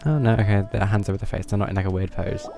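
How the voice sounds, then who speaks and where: A man's voice: on the low side, steady tone, very fast, creaky. A man streaming a game, giving casual, dry commentary as he thinks aloud. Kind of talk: casual live gameplay commentary. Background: game music.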